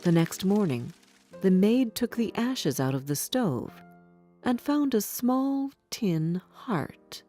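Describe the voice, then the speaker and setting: A woman's voice: medium pitch, very animated, slow, warm tone. A woman narrating a scripted fairy tale for children as a storyteller. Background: background music.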